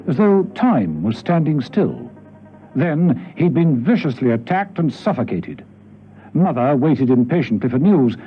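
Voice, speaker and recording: A man narrating a spy radio serial in a dramatic, scripted storytelling style. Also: fairly high pitch. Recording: muffled vintage radio recording, music behind. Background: music.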